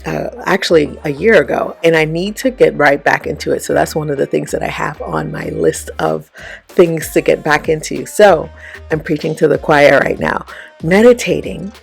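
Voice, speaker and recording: A woman with a raspy voice giving casual motivational advice to her viewers, often checking in with "okay?" and "right?". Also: low-pitched, normal speed, faint music behind. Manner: chatty, frequent "okay?" and "right?" check-ins. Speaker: vlogger and life coach. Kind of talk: casual motivational talk to camera.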